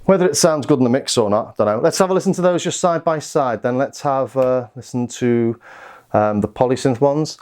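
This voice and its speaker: Man, mid pitch, casual demo presenter, understated, informal, thinking aloud. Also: fairly quick. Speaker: synth reviewer and demonstrator. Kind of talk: casual product demonstration.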